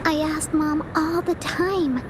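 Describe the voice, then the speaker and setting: A woman's voice: sits high, fairly even intonation, slow pace, noisy background. A woman voicing a shy, wistful young girl in a video game, speaking in a hesitant, timid manner.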